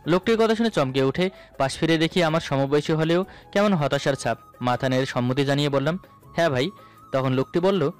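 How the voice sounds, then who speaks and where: Male narrator for romantic audio fiction, higher-pitched, first-person scripted read, music bed. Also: a little fast. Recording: voice over background music.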